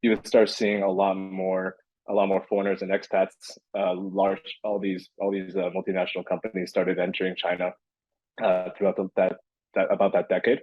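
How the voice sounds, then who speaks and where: A man's voice: deep voice, monotone, normal speed, dry recording, dull audio. A man in his thirties, a podcast guest, answering casually with many restarts and fillers. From born in California, raised in Beijing. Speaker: podcast guest, crypto entrepreneur.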